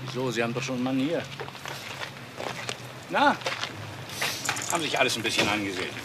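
An actor playing a wealthy house owner under threat, wry and uneasy, in a German crime radio play. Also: male voice, medium pitch, animated, fairly quick, far from the mic, heavy background noise, close to an everyday voice.

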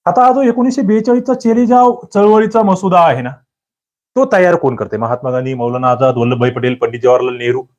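Casting Male exam-prep teacher, somewhat high voice, quizzing students, muffled lo-fi audio. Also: a little mellow. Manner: quizzing, demanding quick answers. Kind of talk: quiz-style lecture. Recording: muffled low-fidelity recording.

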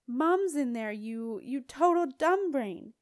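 A woman voicing a stubborn, hot-tempered girl who shouts with defiance in an audiobook story. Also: high-pitched, expressive, slow pace, no room sound, studio quality, voice pushed up. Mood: defiant, yelling. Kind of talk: audiobook character dialogue.

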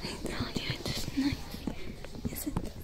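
A woman voicing a young female alien smuggler with a French accent, who gently tends a stranger's wounds in a whispered, soft-spoken ASMR roleplay. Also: takes it slowly, natural voice. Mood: soothing whisper. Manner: gentle, reassuring, coaxing. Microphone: close to the camera.

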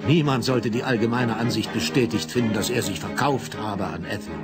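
A man reading the narration of a novel with a measured, serious delivery. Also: on the low side. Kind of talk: literary narration. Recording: music under narration.

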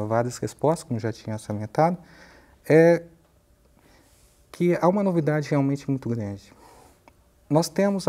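A man in his fifties with a Brazilian accent, a professor explaining ideas in a measured, halting way in a studio interview. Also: a little high, warm tone. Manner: measured, halting, searching for words. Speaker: university professor, interview guest. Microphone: lapel mic.

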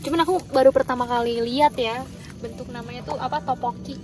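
High-voiced woman vlogging at a campsite. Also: fairly even intonation, fast talker. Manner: chatty, addresses viewers directly. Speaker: camping vlogger. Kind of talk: casual vlog chat to camera. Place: outdoors at a misty hill campsite and in a tent. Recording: talk over loud background music.

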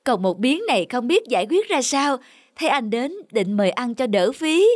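A man voicing a small, nervous, quick-witted turtle in an animal fable cartoon. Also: very high voice, very animated, no echo, studio quality, full character voice. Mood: bluffing.

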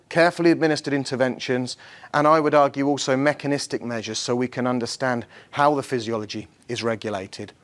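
A middle-aged man with a slightly husky voice, giving an academic conference lecture in an informal, lightly self-deprecating manner. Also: medium pitch. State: slightly husky from the night before. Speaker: university professor, conference speaker. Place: large lecture theatre, on stage before an audience.

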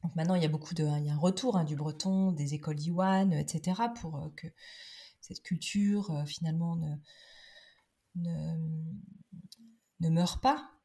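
A woman's voice: sits low, normal speed, dark tone. A middle-aged woman giving a conversational interview as a podcast guest, thoughtful, with many hesitations and restarts. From France.

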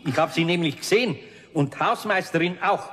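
A male actor plays a gruff but good-natured older Bavarian carpenter. His voice sits a little high for a man, and he talks in a blunt, folksy way, in the manner of a 1970s radio play. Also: a little fast, fairly bright tone, lightly acted. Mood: firm.

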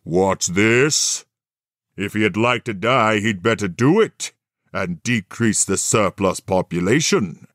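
A man's voice: lowish voice, animated, slow pace, fairly bright tone, no echo, studio quality. Man playing a swooping, mocking Christmas spirit. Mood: stern, grave. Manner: theatrical, sardonic, pronouncing.

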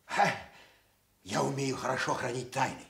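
A man acting a plain, wry middle-aged would-be servant in a theatrical radio play, speaking deliberately. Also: mid-range voice, lively intonation, gravelly, fairly bright tone, roomy sound. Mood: wry, earnest. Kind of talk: theatrical radio stage drama.

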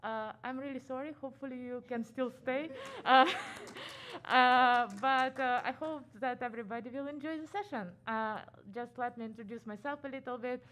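A woman from Ukraine with a Ukrainian accent, giving a conference talk quickly with many "uh" fillers, her voice muffled by a face mask. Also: high voice, a bit bright, a little hiss or hum. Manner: fast-talking, frequent "uh" fillers. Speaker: conference speaker. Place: conference room.

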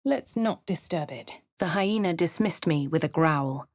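An actress with a posh English accent playing a composed adventurer in a game, speaking in a dry, measured, lightly sardonic way. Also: female voice, deep voice, expressive, no echo, full character voice. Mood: wry. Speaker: player character. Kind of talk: game voice lines. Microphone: studio mic.

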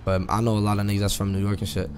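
A man's voice: lowish voice, flat delivery, rapid-fire. A young man from California chatting casually in slang during online role-play, playfully argumentative and repeating himself, on a noisy headset. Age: young adult. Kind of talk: casual slangy in-character chat. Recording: noisy game voice chat, background noise.

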